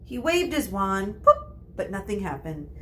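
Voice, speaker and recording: A woman's voice: medium pitch, very animated, measured pace, sharp tone. A woman narrating a storybook aloud in the role of a teacher reading to pupils.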